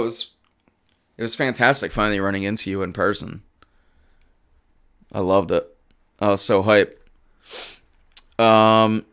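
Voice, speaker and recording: A man hosting a live sports talk stream, casually answering chat and arguing football. His voice is hoarse, and he gets emphatic when he runs through stats. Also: lowish voice. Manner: animated and emphatic, rattling off stats. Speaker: livestream sports show host.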